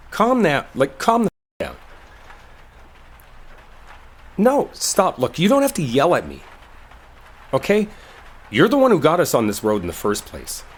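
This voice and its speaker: A man's voice: mid-range voice, slightly rough. A man acting a brash, exasperated young coworker in an intimate roleplay audio, speaking casually with sarcasm and swearing. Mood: exasperated, arguing.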